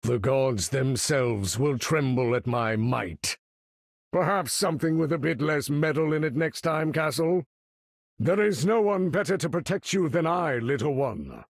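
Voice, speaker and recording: An actor playing a proud, imperious older mutant leader in a video game, speaking in a grand, commanding, formal manner with a husky, gravelly voice. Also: male voice, fairly high pitch, expressive, a little slow, no echo, studio quality. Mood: haughty. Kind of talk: theatrical video game character acting.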